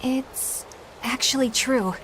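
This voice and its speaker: Eleanor, an earnest polite young exorcist. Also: female voice, sits high, slow pace, fairly bright tone, soft background music. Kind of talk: game dub acting.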